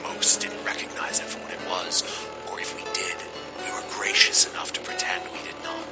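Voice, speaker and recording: Casting: male actor as bitter ex-noble of a fantasy audio drama, very low gravelly voice, brooding, over loud music. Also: little pitch movement, a little fast, whispered. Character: world-weary renounced male noble turned commoner, bitter and grave. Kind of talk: dramatic audio fiction monologue. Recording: music playing behind the voice.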